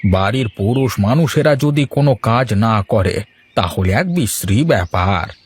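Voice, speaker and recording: A man's voice: fairly low pitch, expressive, unhurried, husky. A man voicing a gossipy older village tea seller in a cartoon, folksy and inquisitive. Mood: curious, chatty. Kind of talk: cartoon voice acting.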